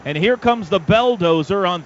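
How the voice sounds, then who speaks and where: An American man calling live football on radio play-by-play, excitable, building drama in short punchy phrases. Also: high-pitched, moderate pace. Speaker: play-by-play announcer. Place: stadium radio booth. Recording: dull noisy stadium radio broadcast.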